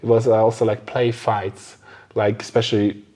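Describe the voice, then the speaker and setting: A man's voice: fairly low pitch, little pitch movement, moderate pace, dark tone, husky. A man hosting a podcast interview in a casual, agreeable, chatty way. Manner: agreeable, frequent affirming responses. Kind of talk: casual chat.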